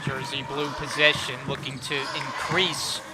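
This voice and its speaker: A man's voice: mid-range voice, level tone, a little slow. A man doing live play-by-play commentary of a basketball game as the announcer. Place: indoor basketball arena. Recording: clearly noisy gym broadcast. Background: gym noise, bouncing basketballs.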